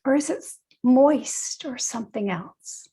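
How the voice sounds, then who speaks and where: Slow, creaky-voiced woman guiding meditation. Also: high voice, animated. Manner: gentle, unhurried with long pauses. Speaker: guided meditation teacher, nurse and educator. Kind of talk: guided meditation.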